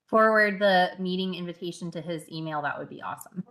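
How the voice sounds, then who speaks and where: A woman on an online meeting call, explaining practical setup matters quickly. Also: on the low side, fairly bright tone. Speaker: meeting staff sharing slides. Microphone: video call.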